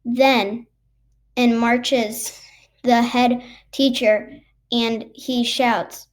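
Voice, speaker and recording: A woman reading a children's book aloud haltingly, stumbling over and repeating words. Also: fairly high pitch, little pitch movement. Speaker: storybook reader.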